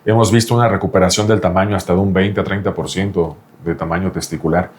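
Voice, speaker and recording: Fast-talking low-voiced male doctor. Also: steady tone. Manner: explanatory, insistent, often repeats the listener's name. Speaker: doctor specializing in reproductive andrology. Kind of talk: explanatory interview talk with a patient.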